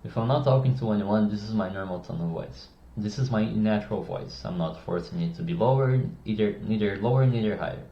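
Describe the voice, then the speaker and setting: A man's voice: on the low side, brisk, few pauses. A man teaching how to use tone of voice, with a casual, rambling manner, and a habit of swearing. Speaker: communication coach. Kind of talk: casual explainer lesson. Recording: roomy, dull lo-fi sound.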